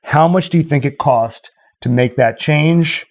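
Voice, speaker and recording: A man's voice: on the high side, a little slow. A man hosting a live stream in casual banter, telling stories and running a quiz with playful asides to the chat. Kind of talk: casual livestream banter and storytelling. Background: music.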